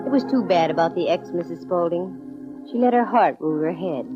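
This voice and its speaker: An actress playing a brisk, wry woman private detective in a 1940s radio drama, with slangy, hard-boiled delivery. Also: fairly low pitch, animated, natural voice. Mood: wry, reflective. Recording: dull vintage radio recording, music behind.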